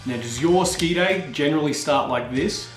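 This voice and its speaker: A man in his thirties hosting a ski gear tutorial, talking straight to camera in a casual, energetic way. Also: on the high side, moderate pace. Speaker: host. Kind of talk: upbeat instructional vlog. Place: small indoor room with skis on wall. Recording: roomy echo with faint music.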